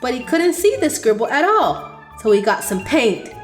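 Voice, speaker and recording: Animated woman reading a children's story. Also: high-pitched, moderate pace, faint music behind. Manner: expressive, sing-song. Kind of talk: read-aloud storytelling for children.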